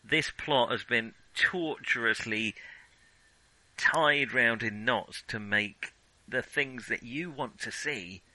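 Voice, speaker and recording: A man with a very bright, forward voice, recorded close and dry. He talks casually about films as a podcast co-host, airing opinions and reworking his sentences as he goes. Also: medium pitch, slow pace, no room sound, low-quality recording. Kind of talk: casual film discussion.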